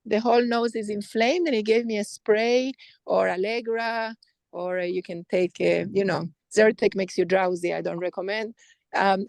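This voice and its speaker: A woman's voice: fairly high pitch, a little mellow, dry recording. A woman in an online class chatting and giving friendly advice to the teacher. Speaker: class participant. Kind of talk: casual chat.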